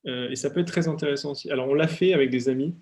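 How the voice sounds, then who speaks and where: A man's voice: medium pitch, rapid-fire, a little mellow. A young man, a writer, answering audience questions with many hesitations and restarts, heard over a video call. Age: young adult. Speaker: author. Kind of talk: talk with audience questions, then reading aloud. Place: at home for an online talk.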